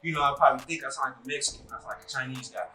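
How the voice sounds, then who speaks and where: A man in his thirties, a musician being interviewed, talking in a relaxed, rambling way full of "like" and "you know". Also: medium pitch, racing. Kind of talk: casual interview chat. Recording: echoey room with faint music.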